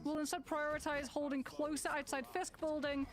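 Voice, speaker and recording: High-voiced female esports analyst. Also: fairly quick, crowd noise. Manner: analytical, steady breakdown of plays. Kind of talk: live color commentary.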